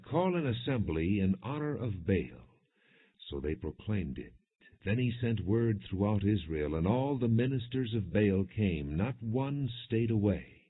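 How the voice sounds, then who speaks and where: A man reading scripture as an audiobook narrator, in a measured, solemn, non-dramatized style. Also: sits low, slightly rough. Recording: dull, muffled phone-like audio.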